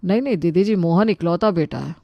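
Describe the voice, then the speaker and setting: A woman's voice: on the low side, brisk, gravelly, dry recording, dull audio. A woman voicing an anxious, deferential relative in a Hindi story, with a polite, pleading manner. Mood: eager, respectful. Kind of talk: Hindi storybook character acting.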